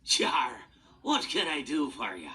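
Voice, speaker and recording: An actor playing a jovial pirate fox animatronic in a game, with a hearty stage pirate accent. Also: male voice, medium pitch, big pitch swings, unhurried, pushed and bright, a little hiss or hum. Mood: slyly jovial.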